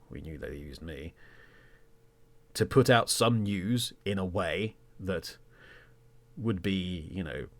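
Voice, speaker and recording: Very deep-voiced man hosting a podcast. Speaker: podcast host and presenter. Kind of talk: reflective podcast monologue.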